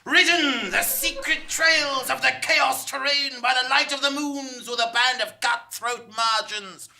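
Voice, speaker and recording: Woman playing a high, flustered space-cowboy drifter. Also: measured pace, gravelly, sharp tone, exaggerated voice. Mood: boastful. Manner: flustered, stammering bravado. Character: bumbling, bashful, self-mythologising space-cowboy drifter man. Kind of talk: comic stage radio play. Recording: faint noise, live theatre performance.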